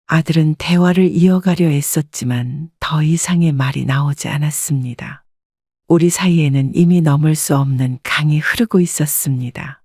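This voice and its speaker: A woman narrating a scripted first-person life story in a calm, even manner. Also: deep voice, normal speed, dry recording, studio quality.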